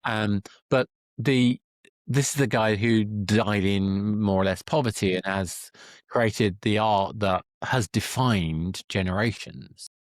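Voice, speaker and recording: Low-voiced male podcast host. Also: takes it slowly, no echo. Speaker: podcast host interviewing a guest. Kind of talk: conversational interview.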